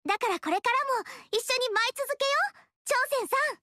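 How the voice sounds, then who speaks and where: A woman's voice: very high-pitched, lively intonation, fairly quick, no room sound, exaggerated voice. An actress voicing a cheerful, friendly young female monk in a game. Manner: bright and friendly. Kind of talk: game dialogue. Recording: dry studio voice.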